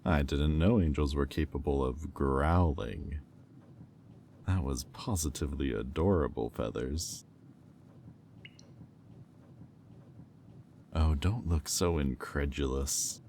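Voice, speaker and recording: A man acts out a predatory male demon for a roleplay audio drama, with an extremely low, dark voice. He toys with his captive in slow, silky taunts, smug and in full control. There is faint noise behind him. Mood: teasing, smug. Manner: slow, teasing, silky taunting with deliberate pauses.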